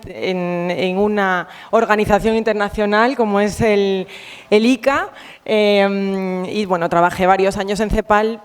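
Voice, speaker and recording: Woman, Spain Spanish accent, invited speaker at a conference; smooth, resonant voice, chatty and informal, reverberant hall. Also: medium pitch. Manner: friendly, chatty, many fillers and restarts. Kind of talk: conference talk.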